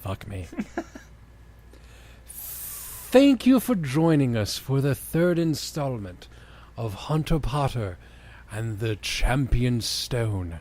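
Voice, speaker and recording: A man's voice: mid-range voice, sing-song, takes it slowly, long pauses, a little mellow. A man hosting a comic dramatic story reading, narrating with sardonic, sweary banter. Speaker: podcast host and reader.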